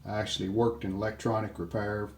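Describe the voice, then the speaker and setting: Low-voiced older man, halting and plain-spoken. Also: level tone, a little mellow, slight background noise. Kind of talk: hands-on repair walkthrough.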